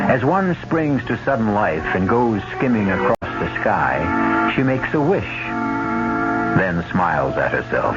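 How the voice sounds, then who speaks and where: A man hosting and narrating an old radio drama in a measured, ominous, theatrical manner. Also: lowish voice, vocal fry. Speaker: host and narrator. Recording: muffled old radio broadcast. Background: loud dramatic music.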